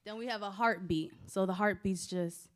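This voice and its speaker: A woman's voice: medium pitch, steady tone, moderate pace. A woman informally facilitating a live audience, conversational and encouraging people to take part. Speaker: stage manager leading post-show conversation. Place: live event space before an audience.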